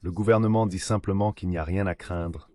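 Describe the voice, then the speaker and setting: A man's synthetic voice reading translated political discussion as a measured voice-over. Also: low-pitched, fairly even intonation, dark tone. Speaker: voice-over translator of interview guests. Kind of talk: dubbed political discussion, measured read delivery.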